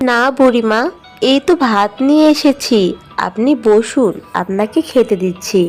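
Woman playing a high-voiced, sorrowful village mother. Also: measured pace, darkish tone, faint music behind. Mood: kind, respectful. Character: poor, kind, sorrowful young village mother. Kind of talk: children's fairy-tale cartoon.

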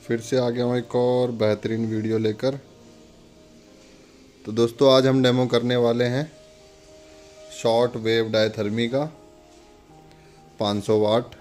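A man's voice: mid-range voice, little pitch movement, measured pace, pauses often, a little mellow, soft background music. A man narrating a casual, step-by-step product demonstration in an instructional way, pointing things out as he goes. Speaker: product demonstrator and channel host.

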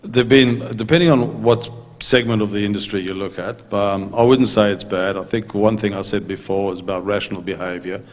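Low, flat-voiced businessman in a hall. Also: brisk, hardly any pauses. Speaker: maintenance company executive on a panel. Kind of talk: conference panel answer. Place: large conference hall stage. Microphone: handheld mic. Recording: long hall reverb.

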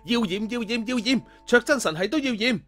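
A pushy, childish would-be deity from an audio story, put on by a male narrator. He pitches his voice high and loud, insisting on his way and repeating his demands, with music underneath. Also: a little fast. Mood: excited, demanding. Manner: loud, insistent, repeats himself, speaks of himself in third person. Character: childish, stubborn, boastful self-styled god, male.

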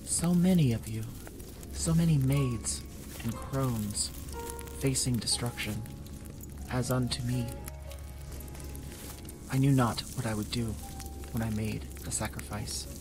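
An ancient Norse seeress spirit played by a woman speaks in slow, measured phrases over music. She is solemn and formal, like a figure from an old saga in an audio drama. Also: very deep voice, full character voice, rough recording. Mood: solemn, awed. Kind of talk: audio drama acting, archaic speech.

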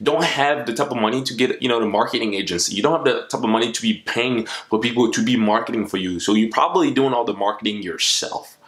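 A man's voice: mid-range voice, fast talker, hardly any pauses, a bit bright. A young man from the Democratic Republic of Congo giving encouraging business advice straight to the camera in a home vlog. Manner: upbeat, encouraging, speaks straight to the viewer. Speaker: motivational YouTuber. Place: small room at home, indoors. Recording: echoey small room.

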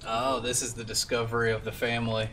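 Young man, casual gameplay commentary, distant from mic, clearly noisy game sound behind. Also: medium pitch, monotone, fast talker. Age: young adult. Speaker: streamer. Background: loud video game sounds.

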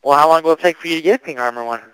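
A young man speaks casually on a prank call. His voice is clear and dryly recorded, with little room sound. He plays the earnest customer, slangy and full of questions. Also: fairly high pitch, rapid-fire, no room sound, poor audio. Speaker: prank caller.

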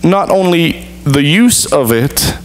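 A man's voice: on the high side, expressive, slight background noise. Earnest man preaching at a lectern. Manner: earnest, measured preaching with deliberate pauses. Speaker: pastor. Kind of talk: sermon. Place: church sanctuary stage.

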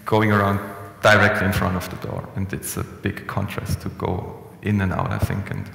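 Young man, easygoing conference speaker, gravelly voice, echoey room sound. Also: low-pitched, normal speed. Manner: relaxed, conversational, frequent restarts and fillers. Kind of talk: conference presentation. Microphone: headset mic. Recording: echoey hall with long reverb.

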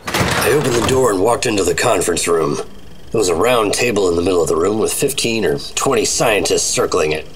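An American man playing a dry, unflappable 1950s secret agent, narrating and talking in a measured noir radio drama. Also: low voice, expressive, a little hiss or hum. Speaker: narrator and lead actor. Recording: music under the voice.

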